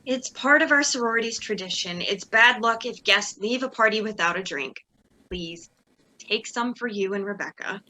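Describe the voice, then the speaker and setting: A woman's voice: mid-range voice, moderate pace, sharp tone, poor audio. A young woman playing a sardonic, exasperated sorority leader in a dramatic reading, theatrical and emphatic. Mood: coolly formal.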